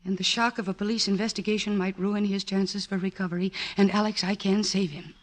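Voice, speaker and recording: A woman's voice: medium pitch, fairly even intonation, fairly quick, lightly acted. Actress as an earnest, pleading woman psychiatrist. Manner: emotional, insistent. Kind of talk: 1940s radio acting.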